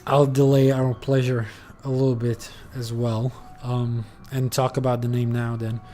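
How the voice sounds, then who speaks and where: A man's voice: mid-range voice, level tone, a little slow, warm tone, faint music behind. A man streaming a game, talking casually and rambling, with lots of fillers and the odd swear. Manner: rambling, many fillers, loose and profane. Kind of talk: casual gameplay commentary.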